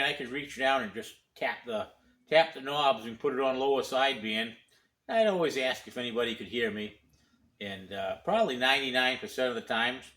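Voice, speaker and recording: Older guy just chatting about his gear, bright voice, kinda rambly and folksy, repeats himself. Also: medium pitch. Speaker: CB radio hobbyist host. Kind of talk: casual chat and gear demonstration.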